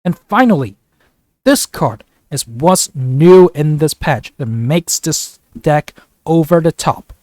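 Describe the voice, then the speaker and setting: A man with a slightly high voice, a little rough and congested from a flu, chats through card game strategy in an upbeat, joking way. He is recorded close up in a dry space with no room echo. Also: animated, takes it slowly, darkish tone. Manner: upbeat, chatty, jokey. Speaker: gaming YouTuber. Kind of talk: casual gameplay commentary.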